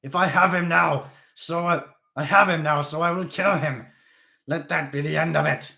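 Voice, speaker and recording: A man reading aloud, voicing a stern old male vampire who speaks in a formal, grave, measured way. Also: fairly low pitch, lightly acted. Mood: defiant.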